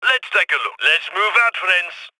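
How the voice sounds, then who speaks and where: An actor playing a brisk, wry male heist planner giving orders over comms in a video game. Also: high voice, expressive, sharp tone, no room sound, exaggerated voice, poor audio. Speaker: mission coordinator.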